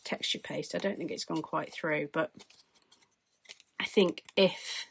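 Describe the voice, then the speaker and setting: Hoarse woman talking through a craft project. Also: sits low, steady tone, takes it slowly. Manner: chatty, explains as she works. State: losing her voice, hoarse. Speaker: craft tutorial host. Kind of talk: casual step-by-step crafting demonstration. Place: craft desk indoors, overhead camera.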